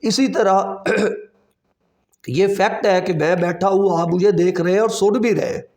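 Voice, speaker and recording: Male law lecturer, Pakistani accent. High-pitched voice, unhurried delivery, didactic, repeats key terms. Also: a little mellow. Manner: deliberate, didactic, repeating key terms and asking rhetorical questions; code-switches with English legal terms. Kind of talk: classroom lecture, explaining with examples and questions.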